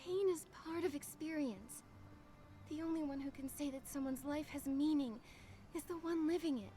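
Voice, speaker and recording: A woman playing an earnest, gentle young heroine in a fantasy video game, speaking in a measured, sincere way. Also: sits high, lightly acted. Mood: solemn, resolved. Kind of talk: scripted video game dialogue.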